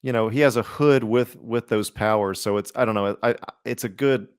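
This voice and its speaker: A man's voice: lowish voice, brisk, smooth, no echo, studio quality. A man co-hosting a podcast, chatting casually about superhero films in a rambling, informal, slangy way. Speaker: podcast co-host.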